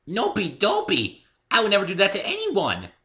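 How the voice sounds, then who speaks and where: A man voicing a bubbly, excitable young female cartoon pony with a childlike, eager manner in a story reading. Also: sits high, normal speed, voice pushed up, exaggerated voice. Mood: earnest. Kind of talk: amateur dramatic story reading.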